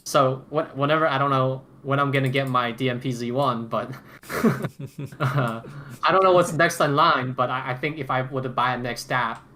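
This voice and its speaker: A man's voice: mid-range voice, fairly even intonation, moderate pace, sharp tone. A man co-hosting a casual roundtable podcast about gear, talking emphatically with repeated phrases and casual swearing. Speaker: podcast co-host.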